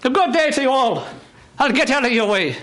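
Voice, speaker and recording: A man's voice: extremely high pitch, animated, brisk. A man playing a chatty, teasing country farmer with a stage Irish accent in a radio play. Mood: genial, rambling. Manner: folksy, rambling, teasing. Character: chatty genial country farmer on a horse cart. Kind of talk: live radio theatre. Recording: hissy live radio studio recording.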